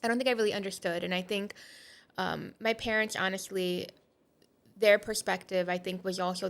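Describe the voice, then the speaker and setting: A woman's voice: fairly low pitch, fairly bright tone. Candid woman sharing her faith story. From Portugal. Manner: candid and reflective, with frequent "um" and "like". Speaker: podcast guest. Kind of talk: personal testimony in conversation.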